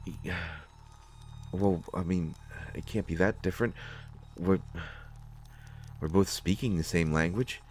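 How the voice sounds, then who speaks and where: Low-voiced, stammering, nervous man, acted out by a man. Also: darkish tone, slight background noise. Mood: awkward, explaining. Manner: halting, stammering, hesitant. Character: nervous, awkward, well-meaning man in a dark sci-fi mystery. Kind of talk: solo scripted audio drama, conversational acting.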